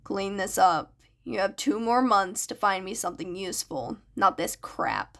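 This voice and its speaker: A woman voicing an imposing, menacing male crime boss in a flat, cutting, controlled manner for a story. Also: mid-range voice, measured pace, pitched below the performer's own voice. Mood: cold contempt.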